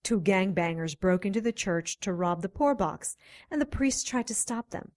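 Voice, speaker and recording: A woman's voice: mid-range voice, animated, moderate pace, a little mellow, close to an everyday voice. A woman playing a matter-of-fact investigator giving a briefing in an audio drama.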